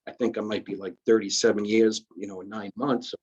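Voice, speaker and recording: A man, talking in a husky, slightly low voice. He explains retirement benefit rules conversationally over a video call, repeating and restarting as he works through each point. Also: fairly even intonation, fairly quick, darkish tone, highs cut off. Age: 50s. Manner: conversational, many restarts and fillers, explains step by step. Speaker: retirement system administrator. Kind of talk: casual explanatory presentation. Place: virtual benefits fair call.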